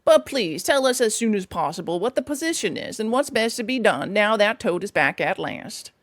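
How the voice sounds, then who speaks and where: A woman's voice: medium pitch, big pitch swings, moderate pace, no echo. A woman voicing a sensible, cautious male water rat in a storybook reading, earnest and thoughtful. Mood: pleading.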